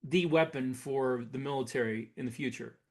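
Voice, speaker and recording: A man co-hosting a podcast, explaining things in a casual, measured and somewhat halting way as he discusses technology. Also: medium pitch, a little mellow. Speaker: podcast co-host. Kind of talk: casual speculative tech discussion.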